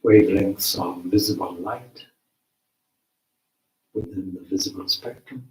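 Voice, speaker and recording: A man leading a guided meditation as a teacher, with measured, deliberate phrasing and long pauses. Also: low-pitched, fairly even intonation, dark tone. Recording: roomy, echoing space.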